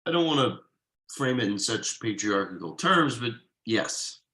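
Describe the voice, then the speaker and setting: A man playing an exasperated, uptight workaholic father with halting, sardonic delivery in a comedy table read. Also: medium pitch, animated, sharp tone. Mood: wary relief.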